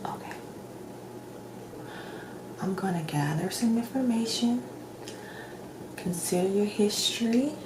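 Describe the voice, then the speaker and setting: A woman speaking softly and gently, often slipping into a whisper, in a measured ASMR roleplay as a doctor. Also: medium pitch. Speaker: ASMR creator playing a doctor. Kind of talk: soft-spoken ASMR roleplay. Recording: roomy echo with clear background noise.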